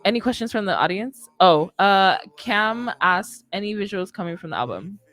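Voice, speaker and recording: A woman's voice: medium pitch, animated, moderate pace, fairly bright tone. Young woman hosting a casual chat show. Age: young adult. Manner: informal, rambling, uses slang and "like". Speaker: radio show host. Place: indoor studio with curtains. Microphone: close studio microphone on boom arm, headset on.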